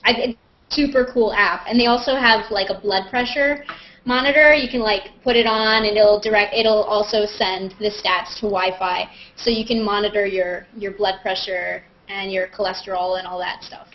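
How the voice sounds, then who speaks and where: A woman's voice: mid-range voice, steady tone, moderate pace, slight background noise. A woman chatting casually and playfully as she hosts a live question-and-answer video call. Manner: playful, joking, self-mocking. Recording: muffled, lo-fi internet video call.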